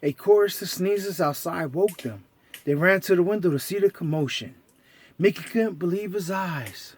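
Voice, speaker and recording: A man reading a story aloud to children in an animated, theatrical storytelling style. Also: high-pitched, normal speed, breathy edge.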